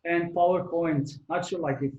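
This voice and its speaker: A man's voice: on the high side, steady tone, moderate pace, a little mellow, dull audio, a little hiss or hum. Moroccan man, rambling with echo. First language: not English; French second language. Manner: rambling, many fillers and restarts. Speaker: guest artist. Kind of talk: casual interview chat.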